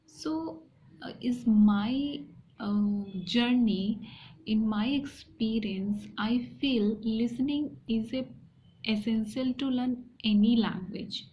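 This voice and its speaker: A woman from India with an Indian accent, speaking hesitant, halting English with repeated phrases in a personal talk to viewers. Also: fairly high pitch. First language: an Indian language alongside Hindi. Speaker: vlogger. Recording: dull lo-fi sound, faint background noise.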